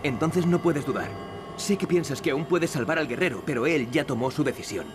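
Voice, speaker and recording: Cocky young Spanish adventurer, played by a man, higher-pitched. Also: a little fast, very noisy, lightly acted. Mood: wry, composed. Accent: Spanish from Spain. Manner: wry, flippant. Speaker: video game voice actor. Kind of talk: dubbed adventure game dialogue. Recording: loud music behind the voice.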